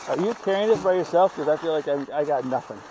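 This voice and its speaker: A man's voice: fairly high pitch, lively intonation, quick pace. A middle-aged man talking casually to viewers outdoors in a steep forest as he drags a log, narrating as he works. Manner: chatty, narrates to viewers while hauling. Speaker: vlogger filming trail work. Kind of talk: casual chat while working. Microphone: body-worn action camera. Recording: outdoor camera mic with loud noise.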